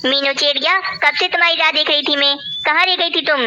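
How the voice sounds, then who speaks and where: A woman's voice: sits high, fairly even intonation, brisk, pushed and bright, lo-fi sound, a little hiss or hum. A woman voicing an ill-tempered, bossy female crow in a cartoon, scolding and threatening. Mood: impatient, scolding. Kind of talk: Hindi cartoon voice acting.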